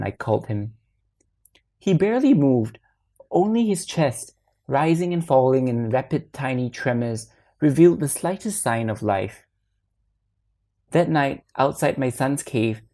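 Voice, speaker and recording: A woman reading a literary short story aloud as narrator, in a measured and expressive manner. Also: extremely low pitch.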